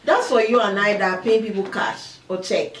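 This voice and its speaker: A woman's voice: mid-range voice, moderate pace. A woman co-hosting a casual panel chat, playful and teasing as she pushes back. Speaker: co-host. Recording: echoey room, from a distance, faint hiss.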